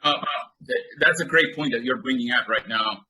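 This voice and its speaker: Guy hosting an interview, upbeat, bright punchy voice, lots of ums. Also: mid-range voice, fairly quick, rough recording. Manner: upbeat, with frequent fillers. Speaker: host.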